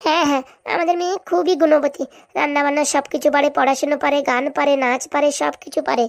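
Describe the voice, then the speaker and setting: Shrill-high nagging cartoon village wife. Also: female voice, steady tone, fast talker, gravelly, no room sound, studio quality. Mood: boastful. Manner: nagging, colloquial. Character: scolding older village mother and wife, cartoon. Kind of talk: comic cartoon dialogue.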